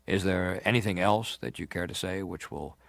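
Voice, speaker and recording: A senator grilling a witness, businesslike n formal, real low voice, super dry close sound. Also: male voice, normal speed, vocal fry, fairly bright tone, no room sound. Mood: methodical, probing. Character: formal, methodical male senator questioning a witness. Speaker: actor reading a senator's questions. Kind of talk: dramatised reading of hearing testimony.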